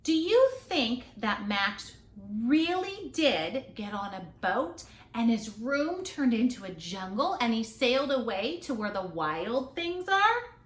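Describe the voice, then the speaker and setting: A woman's voice: on the high side, very animated. A woman reads a picture book aloud to young children expressively, with dramatic stressed words and chatty asides. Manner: dramatic, drawn-out delivery with stressed key words. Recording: echoey, roomy sound.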